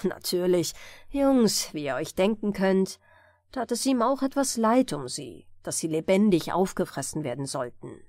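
Expressive woman reading a fairy tale. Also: on the low side, a little slow, dry recording, studio quality. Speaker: audiobook storyteller. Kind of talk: scripted fairy tale narration for bedtime.

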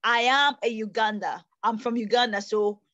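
A young woman from Ghana makes her case to an online panel from a small bedroom. Her voice sits fairly high, and she talks with animated gestures, often restating herself mid-thought. The tablet audio is dry and a little muffled. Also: normal speed, dry recording. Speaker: host of an online panel discussion. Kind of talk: earnest debate remarks. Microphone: tablet camera on a video call.

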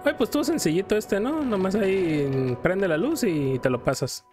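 A man's voice: sits high, expressive, moderate pace. A Mexican man streaming a game, rambling casually and playfully in slang as he tells stories and comments on play. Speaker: gaming streamer. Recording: music behind the voice. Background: video game music.

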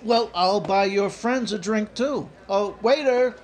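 A man's voice: high voice, slow pace, a little hiss or hum. A man playing a brash young working-class guy on a date, full of slangy bluster, in an old-time radio comedy. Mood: showy bravado.

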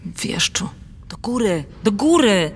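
An actress playing a passionate, fatalistic countess in a radio play of dramatic verse, intense and theatrical. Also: female voice, mid-range voice, sing-song, slow, slightly rough, in a large hall, exaggerated voice. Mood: urging.

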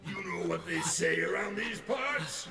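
A gloating demon villain from a cartoon. The voice is creaky and drawn out, hurling grandiose threats. It echoes from a distance with loud music around it. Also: male voice, sits high, animated, heavily acted. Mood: gloating, menacing. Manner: slow, grandiose, shouted threats.

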